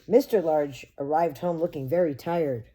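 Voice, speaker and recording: A woman reads a children's picture book in a very mellow, slightly low voice. She speaks as a preschool teacher does, addressing her young listeners directly with simple words. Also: normal speed. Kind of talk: expressive picture-book read-aloud for children.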